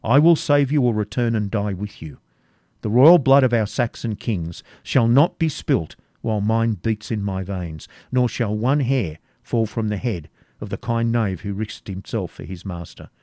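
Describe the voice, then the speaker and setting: A man's voice: fairly low pitch, a little slow, warm tone, dry recording, studio quality, close to an everyday voice. A man reading the part of a proud Saxon nobleman in disguise, speaking with impatient force. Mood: solemn farewell.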